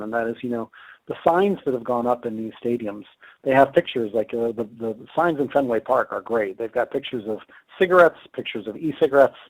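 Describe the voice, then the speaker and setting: A man's voice: medium pitch, darkish tone, no echo, low-quality recording. A man losing his voice, giving a webinar talk and answering questions in a conversational way with many fillers and restarts. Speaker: tobacco control advocate presenter.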